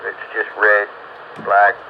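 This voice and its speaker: A man talking casually over a radio, halting and repeating words as he asks for help as a hobbyist. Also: fairly low pitch, monotone. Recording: muffled noisy two-way radio.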